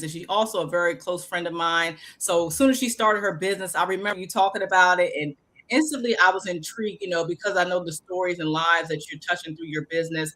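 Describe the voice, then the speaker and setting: An enthusiastic interview host who introduces the guest warmly and heaps praise on her. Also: medium pitch. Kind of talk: casual interview hosting.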